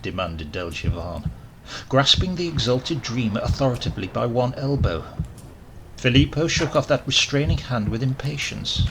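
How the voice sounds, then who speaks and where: A man's voice: medium pitch, animated, normal speed, noisy background. A man reading a horror story as an audiobook narrator, theatrical, emphatic and formal in his delivery. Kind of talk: dramatic scripted horror narration.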